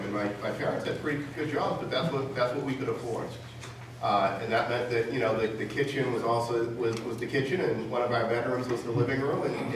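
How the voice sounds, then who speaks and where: A man from Massachusetts giving an informal, conversational campaign speech with halting repetitions. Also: medium pitch. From Massachusetts, United States. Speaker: city councilor. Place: large indoor public forum room. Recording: echoey hall, distant mic, background noise.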